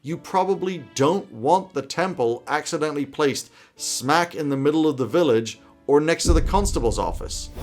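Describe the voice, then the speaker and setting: A man in his thirties presenting scripted game master advice to camera from a small home office. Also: fairly high pitch. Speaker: YouTube host giving game master tips.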